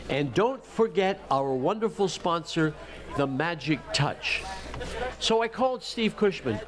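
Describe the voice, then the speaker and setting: A man's voice: fairly high pitch, animated, measured pace, crowd noise. A man hosting a live stage event, giving introductions in a joking, conversational way. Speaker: event host.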